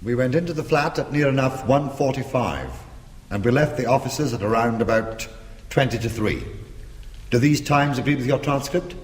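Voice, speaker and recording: A man's voice: mid-range voice, moderate pace, close to an everyday voice. An actor playing a defiant, sardonic British criminal arguing his case insistently in a radio drama. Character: defiant, quick-witted male criminal defending himself. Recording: echoey, reverberant church-like space.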